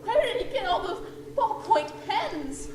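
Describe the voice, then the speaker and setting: A woman playing an excitable, cheeky rabbit sidekick in old-time radio comedy style. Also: very high-pitched, measured pace, exaggerated voice. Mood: excited. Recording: echoey, very noisy old tape.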